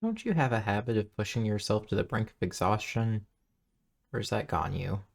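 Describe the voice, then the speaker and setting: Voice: medium pitch, expressive, brisk, gravelly. A streamer giving casual, off-the-cuff commentary while playing a video game.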